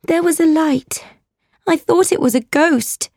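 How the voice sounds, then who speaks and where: Storybook orphan girl, ten, acted by a woman: high-pitched, pleading, vulnerable with a defiant streak. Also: sing-song, normal speed, mellow, no echo, clean studio sound. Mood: frightened, pleading. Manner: earnest, pleading. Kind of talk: audiobook character voice.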